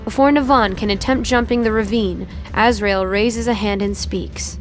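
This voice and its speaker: A woman's voice: mid-range voice, steady tone, normal speed, soft background music. A woman reading scripted, dramatic story narration for an audio drama.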